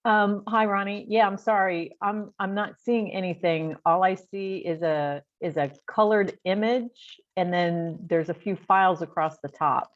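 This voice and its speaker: A woman's voice: medium pitch, fairly even intonation, unhurried. A woman attending an online class, speaking casually and politely, a little apologetically, on a video call. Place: indoors on a group video call. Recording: muffled online call audio.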